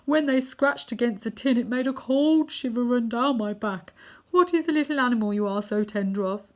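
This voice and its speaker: A woman's voice: a little high, moderate pace, a little mellow, pitched above the performer's own voice, close to an everyday voice. A woman voicing a big, timid male lion in a children's storybook, mournful, plaintive and emphatic. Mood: surprised, curious. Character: big, sorrowful, timid male lion, gloomy and self-pitying. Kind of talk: children's storybook character reading.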